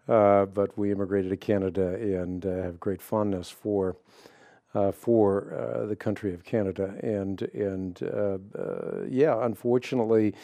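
A man's voice: lowish voice, fairly even intonation, measured pace, gravelly, warm tone, no echo. A man born in the Netherlands whose first language is Dutch, hosting a call-in radio show and talking earnestly with many fillers.

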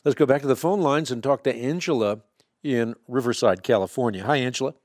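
A man whose first language is Dutch, hosting a call-in radio show and calmly explaining faith questions, often citing scripture. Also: medium pitch, dry recording, clean studio sound. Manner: measured, explanatory, quotes scripture. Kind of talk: Bible answer call-in show.

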